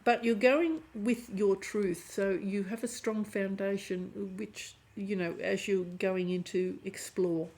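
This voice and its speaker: A woman giving a chatty tarot reading to camera, hoarse and often clearing her throat as her voice fades. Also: mid-range voice, steady tone, takes it slowly, hardly any pauses, a little mellow. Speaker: tarot reader.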